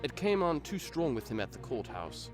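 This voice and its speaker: A man's voice: mid-range voice, big pitch swings, moderate pace, over music, lightly acted. A man playing a scheming, menacing villain master in an audio drama, giving commands. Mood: cold, menacing. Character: menacing villain master plotting murder to keep his rule.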